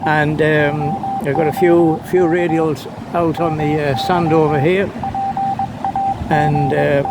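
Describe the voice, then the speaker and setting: Gravelly, hesitant man in noisy outdoor recording. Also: fairly high pitch, flat delivery, takes it slowly. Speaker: amateur radio operator, vlogger. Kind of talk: casual unscripted demonstration. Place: outdoors, partly on a breezy beach. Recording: clearly noisy outdoor ambience.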